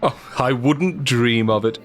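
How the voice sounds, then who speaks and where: An actor playing a suave, self-assured, teasing man in an audio drama, talking in a wry, drawling, smooth way. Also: medium pitch, unhurried, natural voice. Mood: breezy, courteous.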